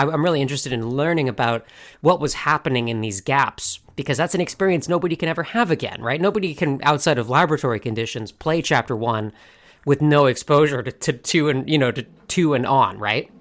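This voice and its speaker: Co-host of a fan podcast, middle pitch, articulate, guides the conversation along. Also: a little fast. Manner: articulate, steering the topic. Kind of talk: casual chat.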